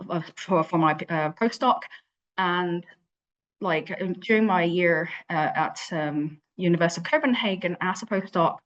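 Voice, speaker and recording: Woman from Hong Kong, thirties, deep voice, hesitant conference speaker with many fillers. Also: lo-fi sound. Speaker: invited speaker, lab leader. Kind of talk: virtual conference talk.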